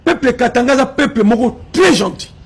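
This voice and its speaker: A man's voice: very high voice, lively intonation, fairly quick, vocal fry, breathy edge, soft background music. A man from Congo with a Congolese French accent giving impassioned political commentary, emphatic and repetitive, slipping in Lingala phrases.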